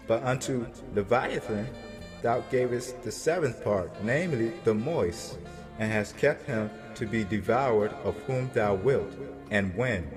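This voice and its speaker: A man narrating scripture as a drama, in a solemn, deliberate, declamatory manner. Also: mid-range voice. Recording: long reverb with music behind.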